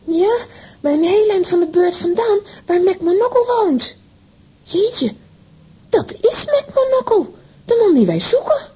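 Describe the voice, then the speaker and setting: A woman's voice: extremely high pitch, very animated, unhurried, mellow, exaggerated voice. A woman playing a plucky, eager young girl in a children's audio drama, full of exclamations. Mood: excited.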